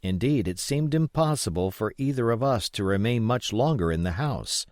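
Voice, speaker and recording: Expressive male audiobook narrator, lively pitch. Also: normal speed, no echo, clean studio sound. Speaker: audiobook reader. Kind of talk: expressive scripted narration of a classic adventure novel.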